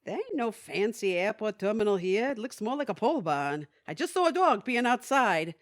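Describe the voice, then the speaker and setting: Woman acting animated, kvetching older widow. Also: mid-range voice, moderate pace, close to an everyday voice. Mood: exasperated, wry. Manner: kvetching, folksy, Yiddish-peppered. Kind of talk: audio theatre comedy acting.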